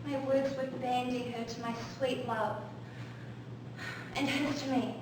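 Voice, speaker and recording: Actress doing a lovesick teen girl, high pleading voice, stagey shakespeare, really echoey n far from the mic. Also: fairly even intonation, measured pace. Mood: impatient, longing. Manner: declamatory, pleading. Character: eager, impatient lovestruck teenage girl. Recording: very echoey, distant, noisy stage recording.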